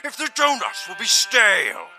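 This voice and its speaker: A man playing a solemn, folksy small-town storyteller in a comedy audio drama, speaking with mock gravity and dramatic pauses. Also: high-pitched, huge pitch swings, music in the background, exaggerated voice.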